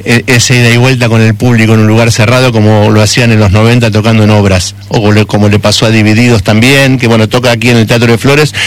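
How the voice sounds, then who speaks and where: A man with an Argentine accent interviewing on the radio in a conversational, rambling and reflective way. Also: medium pitch.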